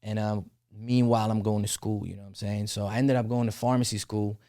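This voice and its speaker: A young man from the Netherlands speaks in a fairly low, unhurried voice as a guest on a podcast. His talk is loose and casual, full of "you know what I'm saying." The mic is close and dry. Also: level tone, dark tone, no echo. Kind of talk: casual interview conversation.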